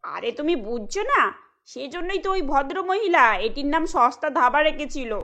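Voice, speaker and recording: A woman's voice: sits high, sing-song, moderate pace, sharp tone, heavily acted. A woman voicing a sensible young wife. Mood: reasoning. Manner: reasoning, persuasive. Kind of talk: cartoon voice acting.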